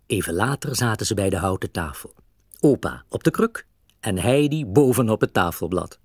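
Low, husky male storyteller. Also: expressive, normal speed, no echo, clean studio sound. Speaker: narrator. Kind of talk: scripted children's story narration.

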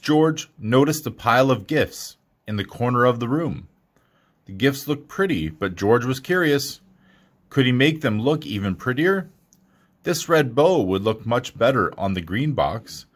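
Animated man reading a children's storybook. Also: medium pitch, a little slow. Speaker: storybook reader. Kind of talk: children's picture book read-aloud.

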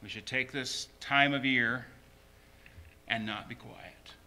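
A man's voice: mid-range voice, fairly even intonation, takes it slowly, bright, forceful tone. A man preaching a sermon as a pastor, emphatically repeating short phrases.

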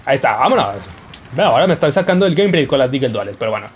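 Lively playful young man gaming. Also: mid-range voice, racing, breathy edge. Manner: playful, jokey, yelps and laughs while playing. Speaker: gaming YouTuber. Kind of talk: casual gameplay commentary.

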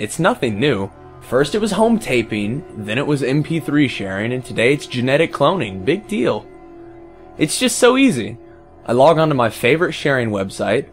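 Guy doing a smug callous pirate guy making excuses, comic monologue, pitch swings around, music behind. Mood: defensive, dismissive. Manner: flippant, self-justifying, rhetorical questions.

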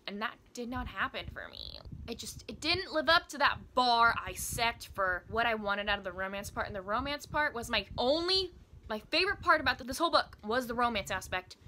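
A woman in her twenties talks casually and emphatically, like a vlogger reviewing books. Her voice is bright and forward, sitting a little high. There is some noticeable noise behind her in the recording. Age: 20s. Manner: enthusiastic, emphatic. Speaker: book vlogger. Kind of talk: casual chatty book review to camera. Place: small indoor room with bookshelves.

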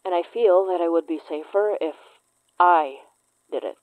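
A woman in her twenties playing a wry, teasing, trauma-worn investigator in a naturalistic horror audio drama, with a deadpan manner. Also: fairly low pitch, normal speed, no echo, phone-quality sound, lightly acted. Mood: guarded, earnest. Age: 20s.

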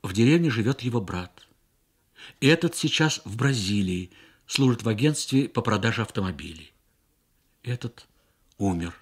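A man voicing an earnest young woman interpreter in a radio story, explaining in a measured way. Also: on the low side, lively intonation, husky. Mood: sober, informing. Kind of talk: Soviet radio story reading.

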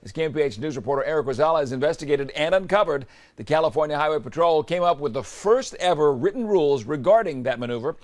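A man's voice: on the high side, lively intonation, fast talker. A man in his forties anchoring a scripted newscast in a TV studio. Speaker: news anchor. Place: TV news studio.

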